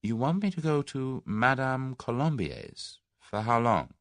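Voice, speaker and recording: Man voicing a spirited young woman, wary, brisk, wry, slightly raised lively voice, audiobook. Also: medium pitch, dry recording, studio quality, voice pushed up. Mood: wary, pert. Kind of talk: audiobook character reading.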